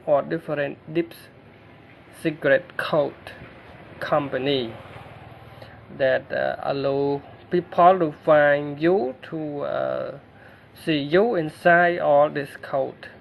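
Khmer-accented guy explaining a tech thing over his screen, lots of uhs, slow and kinda gravelly, dull lo-fi mic. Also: fairly high pitch. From Cambodia. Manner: halting, many fillers and restarts. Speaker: tech tutorial narrator. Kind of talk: screen-recorded tutorial.

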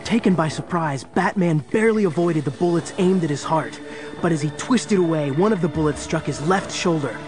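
High-pitched male dramatic story narrator. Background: music.

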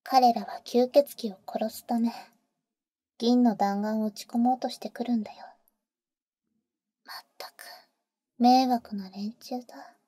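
Breathy, high-pitched vampire big sister acted by a woman. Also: fairly even intonation, dry recording, studio quality. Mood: serious, explanatory. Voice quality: breathy, soft whisper. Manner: intimate, unhurried, cool boyish phrasing. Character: teasing, protective female vampire, older-sister type to a boy. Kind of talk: Japanese ASMR roleplay, mostly whispered. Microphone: close microphone, dry.

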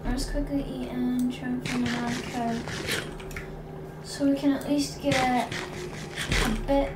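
A woman with a fairly high voice talks slowly through her game, thinking aloud as she plays. She sits away from the mic in an echoey room, and loud noise runs under her voice. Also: level tone, distant mic. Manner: chatty, thinking aloud while playing. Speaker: gaming channel host. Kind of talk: Minecraft let's play commentary.

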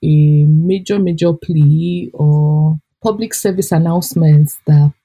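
A young adult Nigerian woman co-hosting a podcast, reviewing a book in an expressive, chatty way with Nigerian accent. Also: deep voice, slow, slightly rough. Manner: chatty and expressive, laughs often. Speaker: podcast co-host. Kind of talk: casual book review chat.

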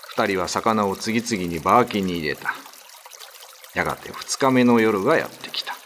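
Guy narrating a spooky radio tale, kinda low voice, swings around a lot. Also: lively intonation, normal speed, a little hiss or hum. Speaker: narrator and father character. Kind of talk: radio drama reading. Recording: soft music bed.

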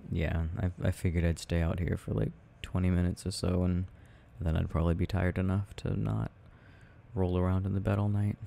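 A low, mellow, creaky male voice acted by a man as a tender boyfriend soothing his partner at night. He murmurs slowly and affectionately, rambling in soft ASMR roleplay style with gentle teasing. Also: heavily acted. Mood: tender, apologetic.

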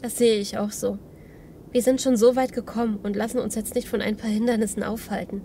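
A woman's voice: a little high, flat delivery, brisk, dark tone, slight background noise, natural voice. An actress playing a determined, impatient female survivor in an audio drama.

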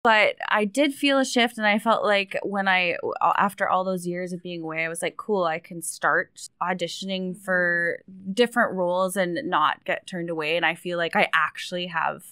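Lively woman guest on a podcast. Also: fairly low pitch, normal speed, few pauses, clear, resonant tone, no echo, studio quality. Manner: animated, laughs easily. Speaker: guest performer. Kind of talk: casual conversation.

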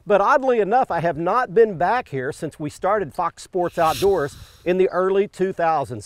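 A middle-aged man hosting a fishing show from a bass boat on a lake, talking in a folksy, enthusiastic way. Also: dry recording. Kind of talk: outdoor show presenting.

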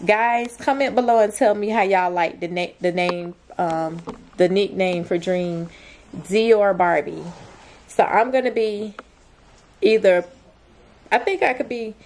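A young woman speaks in a mid-range voice with a slow, drawn-out, informal delivery. She sounds like a casual home vlogger talking to the camera and her child, with faint noise in the recording. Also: mid-range voice, a little mellow. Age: young adult. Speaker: vlogger, mother. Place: small plain room indoors.

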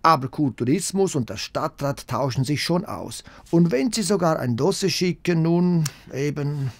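A man's voice: fairly high pitch, animated, normal speed. An actor playing a brusque, dismissive male city mayor in a radio drama, curt and impatient.

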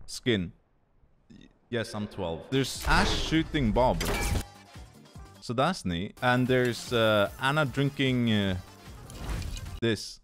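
A man's voice: mid-range voice, lively intonation, takes it slowly, long pauses, over music. A young man with a Swedish accent giving goofy, casual commentary while opening loot boxes, tired and swearing freely. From Sweden. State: tired from no sleep. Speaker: gaming YouTuber.

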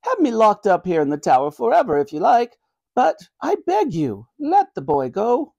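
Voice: medium pitch, wildly swooping pitch, moderate pace, creaky, dark tone, lo-fi sound, voice pushed up, full character voice. A reader voicing a kindly, apologetic elderly grandmother who speaks earnestly and politely in a children's story. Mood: pleading.

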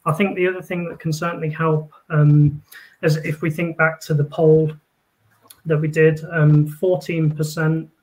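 A man in his thirties giving halting, explanatory answers as a panelist on a video call. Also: on the high side, flat delivery, a little mellow, low-quality recording. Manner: hesitant, halting delivery. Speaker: panelist, industry representative. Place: indoor room.

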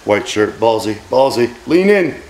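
A man's voice: medium pitch, sing-song, measured pace, a little mellow, slight background noise. A man in his forties hosting a cooking vlog in his home kitchen, brash, joking and profane. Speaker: cooking show host. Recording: sizzling frying pan behind.

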